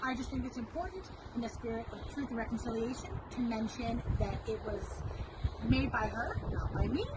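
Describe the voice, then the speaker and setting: A young woman speaks in a fairly high voice, giving a prepared personal presentation as a student. The recording is home-made, with clear noise behind her.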